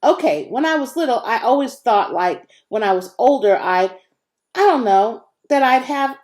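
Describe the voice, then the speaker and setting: A woman's voice: a little high, expressive, normal speed, darkish tone, voice pushed up, natural voice. Woman reading as a hesitant, earnest twelve-year-old boy. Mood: flustered, blurting.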